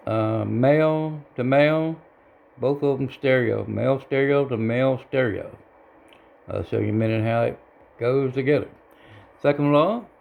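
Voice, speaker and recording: Male hobbyist tutorial voice: creaky and gravelly, folksy, unpolished, stop-and-start delivery. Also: medium pitch. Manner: halting, folksy, plain-spoken. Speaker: hobbyist giving a how-to demonstration. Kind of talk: casual unscripted tutorial. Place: indoor home radio room.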